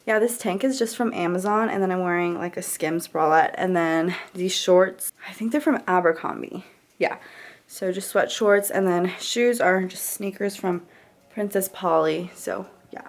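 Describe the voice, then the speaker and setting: A woman's voice: mid-range voice, fairly bright tone. A woman in her twenties, a grad student chatting casually in a vlog, sounding congested from allergies. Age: 20s. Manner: chatty, upbeat, rambling with many likes. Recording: noticeable background noise.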